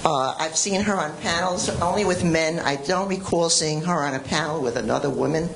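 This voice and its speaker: Audience member, woman, loud and blunt, noisy recording. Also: sits low, brisk, creaky. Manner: frank, opinionated. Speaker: audience member asking a question. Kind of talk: audience question at a panel.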